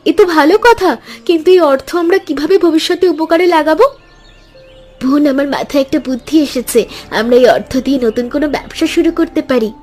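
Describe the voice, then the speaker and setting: A woman's voice: high voice, fast, a little mellow, soft background music, lightly acted. A woman playing a caring, earnest village housewife in a cartoon story. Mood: curious, eager. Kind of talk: Bengali cartoon voice acting.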